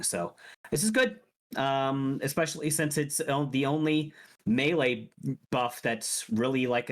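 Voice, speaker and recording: A man hosting a casual gaming podcast, talking with frequent restarts and hedges. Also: lowish voice. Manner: hesitant, restarts and hedges often. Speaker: podcast host.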